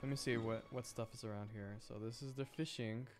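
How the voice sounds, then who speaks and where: A man's voice: mid-range voice, steady tone, brisk, over music. A man doing casual live gameplay commentary as a streamer, talking to himself in exasperated questions. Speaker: game streamer.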